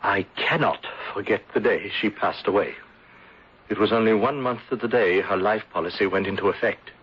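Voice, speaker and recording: A man's voice: fairly low pitch, normal speed, slight background noise, lightly acted. An actor playing a lovestruck, vengeful English man of thirty in a radio melodrama, earnest and intense. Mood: grieving. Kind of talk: melodramatic radio drama acting. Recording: dull lo-fi radio broadcast.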